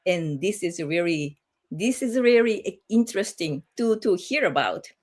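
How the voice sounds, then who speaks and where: A woman with a Japanese accent hosting a casual livestream, speaking haltingly as she searches for words in a non-native language. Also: lowish voice, expressive, darkish tone. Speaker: host of a group livestream.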